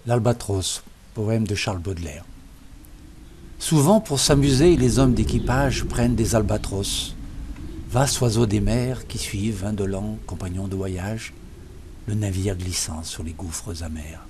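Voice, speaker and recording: A man gives a dramatic, literary poetry reading, declaiming phrase by phrase with expressive emphasis. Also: fairly low pitch, measured pace, noisy background. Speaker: poetry reader. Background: music behind the voice.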